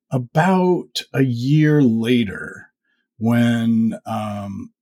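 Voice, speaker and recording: A man's voice: mid-range voice, slightly rough. A man in his fifties, a podcaster being interviewed, talking reflectively and rambling with many fillers. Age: 50s. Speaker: podcast host and guest. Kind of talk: casual interview conversation.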